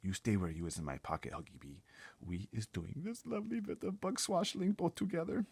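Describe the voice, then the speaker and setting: A man voicing a kindly, excitable friendly giant from a storybook, speaking conspiratorially in muddled folksy grammar. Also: lowish voice, brisk, creaky, breathy edge, no echo, pitched above the performer's own voice. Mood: excited. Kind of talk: children's storybook read-aloud.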